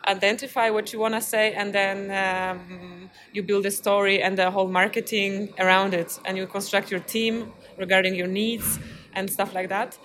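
A woman's voice: medium pitch, level tone, moderate pace, fairly bright tone. A woman from Poland with a Polish accent, answering interview questions as a festival programmer in a hesitant, thoughtful way. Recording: clearly noisy background ambience.

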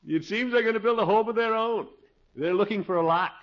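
An actor playing a blustery, scheming middle-aged uncle in a 1950s radio situation comedy, exclamatory and chuckling. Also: male voice, high voice, fairly quick, lightly acted. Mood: smug. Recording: muffled old 1950s radio recording.

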